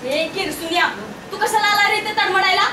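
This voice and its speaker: Very high-pitched bossy village sister, acted by a woman, echoey stage. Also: fairly even intonation, a little fast, a loud crowd. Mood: stern. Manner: sharp-tongued, interrogating, teasing. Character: bossy, scolding rustic village elder sister. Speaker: stage actor. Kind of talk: amateur one-act stage drama in rustic dialect. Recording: noisy echoey stage, picked up from far off.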